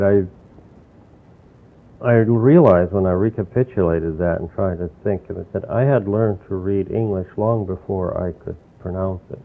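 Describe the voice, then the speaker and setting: An older man from New York, recalling his childhood in a rambling, reflective way during an oral history interview. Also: low-pitched. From New York, USA. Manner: rambling, reflective storytelling with restarts. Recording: tinny phone-like lo-fi recording with faint hiss.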